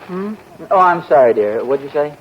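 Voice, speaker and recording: Actor as a distracted, mumbling young husband. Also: male voice, a little high, animated, moderate pace, a little hiss or hum, lightly acted. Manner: absent-minded, mumbling then exasperated. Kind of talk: 1940s radio sitcom acting. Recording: dull lo-fi 1940s radio recording.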